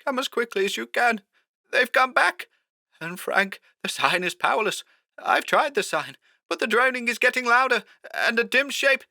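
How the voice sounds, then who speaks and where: A man voicing a frantic, obsessed horror writer who talks high-pitched, strained and hoarse. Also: animated, moderate pace, bright, forceful tone, no echo, clean studio sound, full character voice. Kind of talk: audiobook character voice.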